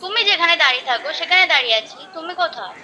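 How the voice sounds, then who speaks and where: A woman's voice: high voice, lively intonation, very fast, a crowd in the background. A woman on a phone call, irritated and complaining as she is pranked. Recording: phone line with background noise.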